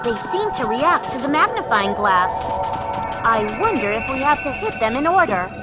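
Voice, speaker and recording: A woman's voice: high voice, very animated, moderate pace. An actress playing a brainy, inquisitive young female sleuth in a cartoon video game. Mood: curious. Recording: loud game music behind.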